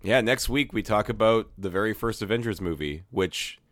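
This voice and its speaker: A young man hosting a casual movie podcast, a little hoarse, talking with lots of fillers and restarts. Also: lowish voice, a bit bright, no room sound. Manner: halting, many fillers and restarts. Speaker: podcast host.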